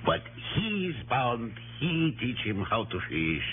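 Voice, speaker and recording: An actor playing an easygoing country papa with a German accent and halting, broken English in a radio drama. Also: male voice, medium pitch, sing-song. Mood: fond. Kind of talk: 1960s radio drama acting. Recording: tinny old radio recording, faint noise.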